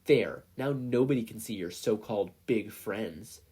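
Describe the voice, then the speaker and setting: A man's voice: lowish voice, unhurried, slightly rough. A man voicing a brash, hot-tempered, bossy young boy in a story reading. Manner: loud, snapping.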